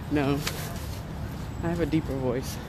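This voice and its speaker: A man's voice: on the high side, slow pace. Chatty New man streaming outdoors. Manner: chatty, relaxed, talks to viewers while walking. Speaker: livestream host. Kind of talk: casual walking livestream. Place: outdoors by the water in a city park. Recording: phone stream with loud street noise. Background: city traffic noise.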